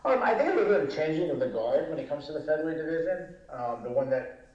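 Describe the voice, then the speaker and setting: A man's voice: on the high side, animated, fast talker, a little mellow. A man with a Rhode Island accent talks casually as a podcast guest, rambling with fillers and restarting his sentences. His voice bounces around an echoey room. The sound is dull and lo-fi, with little top end. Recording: echoey, dull lo-fi remote audio.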